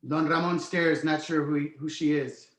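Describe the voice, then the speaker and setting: Guy reading script action lines, voice a bit high, lots of room echo. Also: fairly even intonation, normal speed, fairly bright tone. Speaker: narrator reading stage directions. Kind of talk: screenplay table read narration, present tense.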